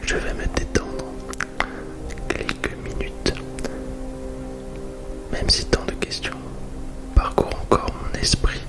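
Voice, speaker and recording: Casting: man playing a calm, introspective starship pilot, hushed deliberate whisper, sci-fi ASMR roleplay with clear music bed. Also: close to an everyday voice, low-quality recording. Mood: pensive. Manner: soft, deliberate, hushed delivery. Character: calm, introspective male spaceship pilot and scientist.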